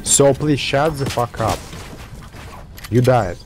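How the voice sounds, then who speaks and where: A man's voice: mid-range voice, expressive, slow pace, over music. A man in casual game voice chat, blunt, irritated and swearing at his teammates. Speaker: gaming teammate. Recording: noisy game chat, gunfire behind. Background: video game gunfire.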